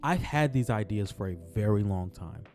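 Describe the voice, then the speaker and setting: A man talking casually about basketball in his own vlog, his voice raspy from just waking up, laid-back and repeating himself as he speaks from the heart. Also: fairly low pitch, expressive, moderate pace, a little mellow. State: raspy morning voice. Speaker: sports vlogger. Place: on his way to work.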